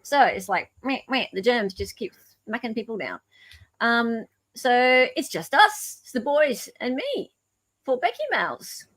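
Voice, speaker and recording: A woman's voice: on the high side, sing-song, measured pace, fairly bright tone. A middle-aged Australian woman hosting a livestream, chatting casually in a playful, informal way. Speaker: livestream host.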